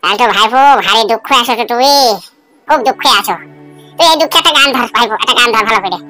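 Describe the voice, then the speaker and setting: A woman's voice: on the high side, expressive, quick pace, sharp tone, faint music behind, poor audio. A woman voicing a nosy, bawdy widowed older village uncle in a cartoon comedy, prying in coarse slang. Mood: mock sympathy.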